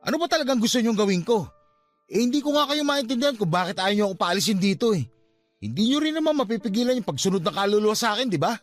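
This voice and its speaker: A stubborn young Filipino nephew in a radio drama. His voice is high for a man and very animated, pleading and arguing defensively, with music underneath. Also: fast talker. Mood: frustrated, pleading. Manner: pleading, argumentative, expressive.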